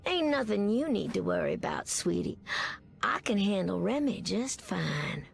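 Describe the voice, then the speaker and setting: An actress playing a sassy, confident Southern American mutant superheroine, trading folksy, drawling banter in a video game. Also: female voice, medium pitch, big pitch swings, a little slow, gravelly, soft background music, full character voice. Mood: playful, sassy. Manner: drawling folksy banter, calls people sugar. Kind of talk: video game character dialogue.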